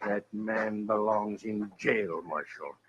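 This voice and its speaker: An actor playing a proud, blustery older cattle rancher with a rural Western American accent in a radio drama. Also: male voice, fairly low pitch, takes it slowly. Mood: indignant. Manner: blustery, boastful, folksy. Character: proud, cantankerous old cattle rancher turned hotel builder. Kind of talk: 1950s radio drama acting. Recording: old radio recording, dull and hissy.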